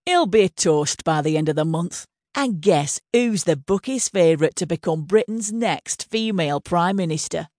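A woman's voice: on the low side, lively intonation, moderate pace, hardly any pauses, darkish tone, no room sound, studio quality. A woman voicing a blunt, foul-mouthed Northern English female Home Secretary with a swaggering, crude manner. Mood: scornful. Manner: blunt, crude, teasing then menacing.

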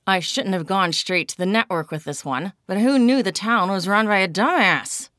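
A woman voicing a brash, quick-tempered female TV renovation star who talks bluntly and sarcastically. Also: on the low side, very animated, fairly bright tone, no room sound, clean studio sound. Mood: fiery.

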